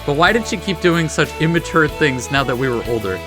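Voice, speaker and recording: A let's play host commenting casually on a game and reading its text aloud, a little haltingly, with soft interjections. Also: medium pitch, animated. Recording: game music behind the voice.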